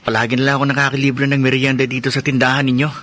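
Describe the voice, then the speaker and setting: Male actor as an earnest schoolboy son in radio drama: polite, plaintive, sharp bright voice, mid pitch. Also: little pitch movement, racing, slight background noise, lightly acted. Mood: sad, polite. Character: earnest schoolboy son, troubled by quarrelling parents.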